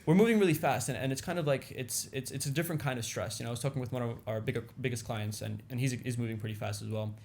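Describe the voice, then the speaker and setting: A man's voice: mid-range voice, vocal fry, darkish tone, a little hiss or hum. A young man whose first language is Indonesian, chatting casually as a podcast guest and often repeating himself. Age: young adult. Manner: repetitive, halting phrasing with frequent "you know" and "like". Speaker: podcast guest, business owner. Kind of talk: casual interview chat. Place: small indoor podcast studio, seated on a couch. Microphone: boom-arm studio mic with pop filter.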